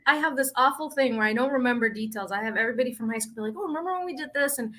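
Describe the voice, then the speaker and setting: A woman's voice: high-pitched, racing, pushed and bright. A middle-aged woman from the Dominican Republic, a guest in a casual interview, emotional and laughing often.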